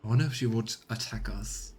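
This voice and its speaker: A man's voice: on the low side, fairly quick, darkish tone, soft background music. A man giving casual let's play commentary, thinking aloud as he weighs choices in a role-playing game.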